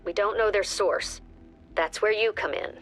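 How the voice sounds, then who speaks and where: A woman playing a stern, composed director of a security agency, giving formal, measured briefings in a video game. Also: mid-range voice, close to an everyday voice. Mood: businesslike. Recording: music behind the voice.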